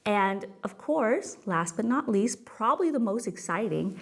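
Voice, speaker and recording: A woman's voice: mid-range voice, animated, a little slow. A woman in her thirties from California with an American accent, hosting a webinar and explaining things in a chatty, emphatic, reassuring way. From California, United States. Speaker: webinar host, tax expert. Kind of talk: webinar presentation, conversational explaining. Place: office, indoors, at a desk.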